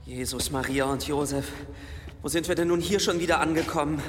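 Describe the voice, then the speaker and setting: An actor playing an anxious, grumbling traveller in a German radio drama. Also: male voice, a little high, fairly quick, close to an everyday voice. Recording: long cave-like reverb.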